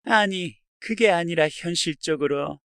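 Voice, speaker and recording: A woman's voice: on the low side, measured pace, a bit bright, clean tone, no echo, clean studio sound, voice pushed down. A woman voicing a flustered adult younger brother, protesting hesitantly and defensively in a dramatized audio story. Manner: hesitant, protesting, self-justifying.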